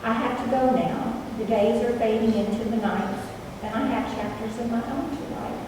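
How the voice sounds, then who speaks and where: Soft-spoken woman reading a remembrance, gentle delivery, distant mic, long hall echo. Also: fairly high pitch, steady tone, moderate pace. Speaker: fellowship member. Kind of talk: reading a personal remembrance aloud. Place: large meeting hall indoors. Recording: echoey hall, far from mic, background noise.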